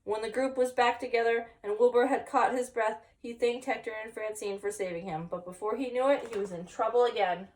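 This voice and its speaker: Higher-voiced woman reading a storybook, slightly distant. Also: a little fast, few pauses. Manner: expressive storytelling with chatty asides to young listeners. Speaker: story time reader, teacher. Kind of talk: read-aloud children's picture book with asides.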